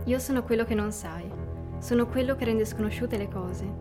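A woman playing the Dark itself, speaking in solemn, poetic riddles in a scripted radio sketch. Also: on the high side, normal speed, lightly acted. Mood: solemn, mysterious. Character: the Dark personified, enigmatic, calm, poetic. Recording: music under the voice.

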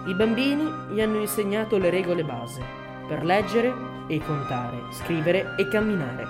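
Young woman on radio reading rhymes, lowish voice, music really loud behind her. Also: very animated, moderate pace. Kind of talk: scripted rhyming radio review.

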